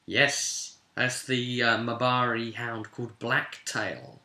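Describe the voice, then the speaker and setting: Bright, forceful man in an echoey room. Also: medium pitch, slow. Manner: animated storyteller, voicing characters' lines and addressing listeners directly. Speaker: game master and host of a tabletop roleplay recap series. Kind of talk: informal, spoken recap of a tabletop fantasy game session. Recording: echoey, roomy sound.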